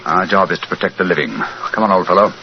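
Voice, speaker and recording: Man as commanding gentleman sleuth, quick delivery, British accent, formal, old radio play, background hiss. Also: low voice, steady tone, close to an everyday voice. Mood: brisk, grave. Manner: precise, courteous, formal. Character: brisk, assured gentleman detective, calm and commanding. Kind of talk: 1940s radio acting. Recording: muffled, hissy old radio recording.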